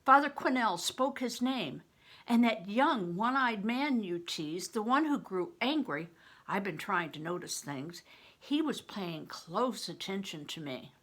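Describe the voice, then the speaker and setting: A woman's voice: medium pitch, animated, unhurried, fairly bright tone. An older woman voicing an anxious, earnest orphan boy in a storybook, urgent and questioning. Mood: worried.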